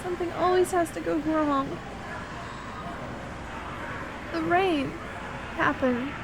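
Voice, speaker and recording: A woman playing an expressive, girlish young best friend, sweet and sometimes hesitant, in an ASMR roleplay. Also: extremely high pitch, measured pace, noisy background. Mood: dejected, hesitant. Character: excitable young woman on an outing with her best friend, sweet and easily discouraged. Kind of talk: ASMR roleplay audio drama addressed to the listener.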